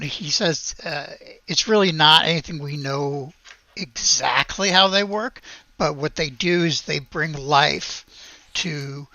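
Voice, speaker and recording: A game master improvising tabletop narration in a casual, halting, conversational way. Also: medium pitch, pushed and bright, no room sound, highs cut off.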